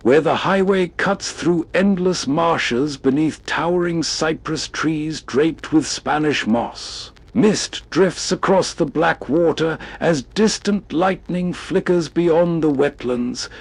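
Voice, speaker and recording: A man's voice: on the high side, takes it slowly, gravelly, few pauses, slightly rough, no echo. A man hosting with scripted, atmospheric narration in a dry, close voice-over. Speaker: host.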